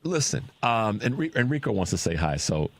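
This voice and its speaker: A man hosting a radio interview show in a studio, talking in an excited, casual way with lots of stammered restarts. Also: mid-range voice, a little fast, creaky. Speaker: radio host.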